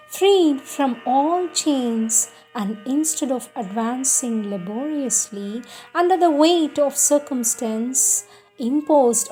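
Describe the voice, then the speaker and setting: A woman reading spiritual teachings aloud as a solemn, scripted devotional piece, in a measured, earnest and instructive manner. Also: on the high side, a little mellow, poor audio.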